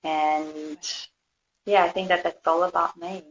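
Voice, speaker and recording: A woman from Brazil with a Brazilian accent, a guest talking casually about her healing work, gently searching for words. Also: on the low side, little pitch movement, measured pace. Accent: Brazilian Portuguese. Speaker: guest, yoga and healing teacher. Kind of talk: casual conversation. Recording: dull lo-fi audio with faint background hiss.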